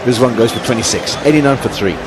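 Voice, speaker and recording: A man giving calm, analytical live cricket commentary. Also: mid-range voice, quick pace, loud music behind the voice, low-quality recording. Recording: broadcast with loud crowd and noise.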